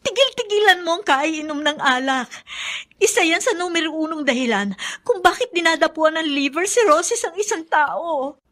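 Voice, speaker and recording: High-voiced nagging Filipino wife. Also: female voice, animated, moderate pace, fairly bright tone. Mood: scolding. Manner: reproachful, insistent. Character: concerned, nagging wife with a firm conscience. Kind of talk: Filipino radio drama acting.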